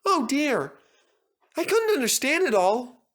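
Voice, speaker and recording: A man voicing an eager, earnest young tank engine in a children's story, with a squeaky, chattering delivery. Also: sing-song, unhurried, fairly bright tone, full character voice. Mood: upset. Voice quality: squeaky, high-pitched. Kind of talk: animated children's story character voice.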